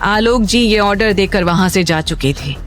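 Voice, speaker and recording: A woman's voice: mid-range voice, moderate pace. Woman narrating drama over music. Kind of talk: scripted dramatic story narration. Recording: music bed under the voice.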